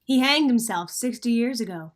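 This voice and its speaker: Woman playing a high-voiced, cocky hardboiled crook. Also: expressive, normal speed, natural voice. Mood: brisk, bossy. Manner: clipped, slangy, hardboiled. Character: cocky male crook Stanley, bossy schemer and hardboiled narrator. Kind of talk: vintage radio drama acting.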